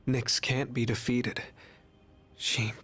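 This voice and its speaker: Breathy, sorrowful young harbinger of death. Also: male voice, medium pitch, flat delivery, slow pace, heavily acted. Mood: resigned, gentle. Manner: soft, grave, resigned, gently pleading. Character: gentle, sorrowful young male harbinger of death in human form. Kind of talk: English video game voice acting.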